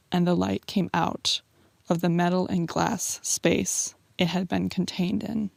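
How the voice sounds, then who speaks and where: Low, creaky-voiced woman reading poetry. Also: no room sound. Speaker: amateur poet. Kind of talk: measured introduction and poetry reading.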